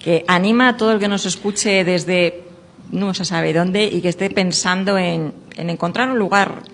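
A woman's voice: lowish voice, normal speed. A woman with a Castilian accent hosting a live radio interview in an effusive, conversational way, with long reverb on her voice. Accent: Castilian Spanish. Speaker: radio host.

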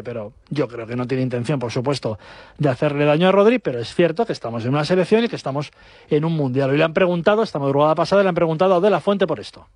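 A man presents a football talk show from a dry studio with almost no room sound. His voice sits a bit high, and he runs through the news quickly with the odd hesitation. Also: no echo. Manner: rapid fluent presenting with hesitations. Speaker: sports show host.

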